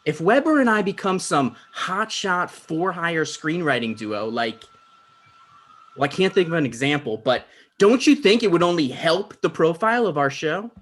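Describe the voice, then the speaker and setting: A man's voice: a little high, animated, normal speed, a bit bright. A man playing a self-important, insecure talk show host. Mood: scheming. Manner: dismissive, argumentative. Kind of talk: comedy script table read.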